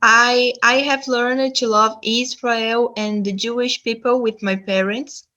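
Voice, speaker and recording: A woman's voice: on the high side, steady tone, slow, sharp tone. A woman speaking English with a Brazilian Portuguese accent, giving a testimony talk, hesitant with frequent "um" and "uh". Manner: hesitant, many "um" and "uh". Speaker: guest speaker.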